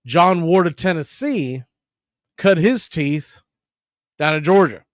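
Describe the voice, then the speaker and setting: A man hosting sports radio, speaking in an emphatic, deliberately phrased announcer's style into a close microphone. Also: fairly high pitch, animated, dry recording. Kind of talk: radio promo and commentary.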